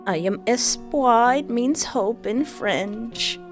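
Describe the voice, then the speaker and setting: A woman's voice: fairly high pitch, slow, soft background music. A young woman reading a visual novel aloud and breaking in with playful, joking asides. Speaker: game streamer.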